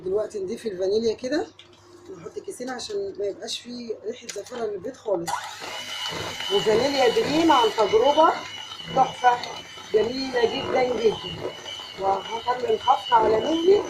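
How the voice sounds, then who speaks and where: A home cook with an Egyptian accent walks the viewer through a recipe in a quick, friendly patter. Her voice sounds tired from a recent cold and sits a little high. The kitchen recording carries a clear layer of background noise. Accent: Egyptian Arabic. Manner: chatty, addresses viewer directly, step-by-step. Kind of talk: cooking tutorial.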